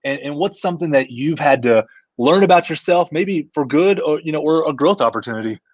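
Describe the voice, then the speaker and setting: A man's voice: a little high, lively intonation, fairly quick, no room sound. Guy hosting a business stream, chatty, dull dry sound. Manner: conversational, asks questions, walks through a screen share. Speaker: host, company CEO. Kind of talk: business live-stream discussion.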